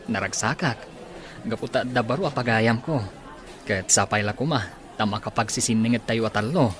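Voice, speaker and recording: Man playing a humble, polite man, medium pitch. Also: normal speed, fairly bright tone, natural voice. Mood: humble, grateful. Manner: polite, earnest. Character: humble, grateful adult man, blind singer and masseur. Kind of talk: Ilocano audio drama acting. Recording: faintly noisy track with cricket sounds.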